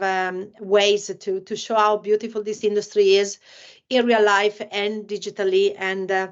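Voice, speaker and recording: A woman's voice: on the high side, muffled recording. A woman from Italy with an Italian accent, hosting a casual podcast chat and filling her talk with "you know, like". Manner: many fillers like "you know, like". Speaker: podcast host.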